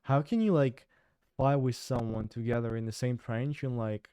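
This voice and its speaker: A young man from Russia with a Russian accent, casually commenting on news and translating clips with quick asides. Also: medium pitch, fairly even intonation, warm tone, a little hiss or hum.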